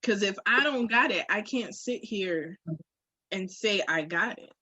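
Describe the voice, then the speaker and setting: A woman's voice: mid-range voice, lively intonation, unhurried, pushed and bright, husky, no room sound, highs cut off. A woman in casual, candid conversation, speaking in an informal, reflective and emphatic way. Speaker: podcast co-host. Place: phone call.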